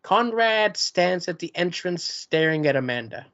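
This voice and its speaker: A man's voice: high-pitched, lively intonation, unhurried, a bit bright. A man reading the stage directions of a play in a table read. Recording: dull lo-fi recording.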